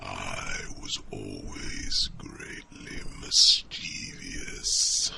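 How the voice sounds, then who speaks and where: Extremely deep, gravelly, slow dragon. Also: male voice, huge pitch swings, sharp tone, a little hiss or hum, exaggerated voice. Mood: musing, gentle. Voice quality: very deep, gravelly, breathy growl. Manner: slow, stately, formal, musing with low hums. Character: mischievous old royal dragon, gentle mentor to a youngling. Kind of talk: ASMR fantasy roleplay.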